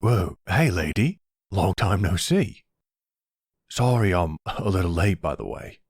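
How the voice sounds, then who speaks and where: A man's voice: low voice, expressive, unhurried, slightly rough, no echo, clean studio sound, full character voice. A man playing a breezy, teasing family friend who loves kids, in an audio roleplay.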